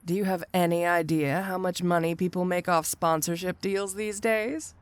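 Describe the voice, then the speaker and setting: A woman's voice: fairly low pitch, fairly even intonation, smooth, lightly acted. A woman playing a flirty, teasing supervillain cat burglar in an ASMR roleplay audio drama, with a coy, seductive delivery. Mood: coaxing, tempting. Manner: coy, teasing, drawn-out delivery with rhetorical tag questions.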